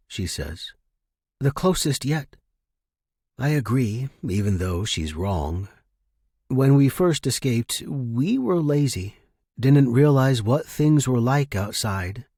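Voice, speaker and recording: A man reading a dramatic first-person story in measured, deliberate short clauses. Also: medium pitch, a little mellow, slightly rough, no echo, studio quality. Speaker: narrator. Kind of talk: dramatic scripted fiction narration, first-person present tense.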